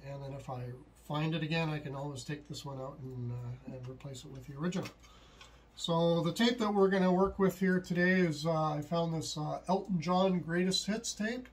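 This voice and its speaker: A middle-aged man giving a casual, unscripted how-to explanation, halting and thinking aloud as he goes. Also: a little high. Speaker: hobbyist host of a DIY tutorial. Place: small indoor home workshop at a desk, later at a computer.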